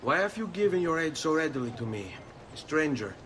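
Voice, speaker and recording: A man's voice: a little high, very animated, fairly quick, fairly bright tone, a little hiss or hum. An actor playing a proud, vengeful young Italian nobleman with an Italian accent. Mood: polite, curious.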